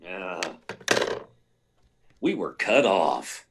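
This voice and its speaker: A man playing a flustered, blustering newspaper editor in an old-time radio drama, stammering and excitable. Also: sits low, very slow, heavily acted. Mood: agitated. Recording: live remote performance, clear background hiss.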